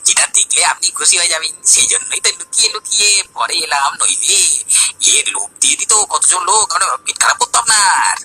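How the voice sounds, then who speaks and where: A man playing a scheming, pushy village man in a cartoon story, a flatterer and bully. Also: high-pitched, a little fast, creaky, slight background noise, full character voice, rough recording. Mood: wheedling. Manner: wheedling, pushy. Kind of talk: Bengali cartoon story dialogue.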